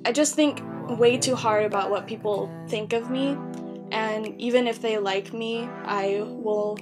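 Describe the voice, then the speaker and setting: A woman's voice: on the high side, fairly even intonation, a little slow. A young woman talking candidly in a casual personal vlog, rambling a little and poking fun at herself. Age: young adult. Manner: candid, self-deprecating, rambling. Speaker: YouTube vlogger. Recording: background guitar music. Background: soft guitar music.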